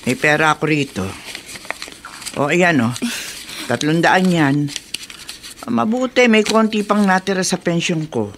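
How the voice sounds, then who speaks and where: A woman's voice: lowish voice, a little slow, pauses often, a little hiss or hum. An actress playing a kindly, concerned elderly Filipino grandmother in a radio drama. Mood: kindly, generous. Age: older.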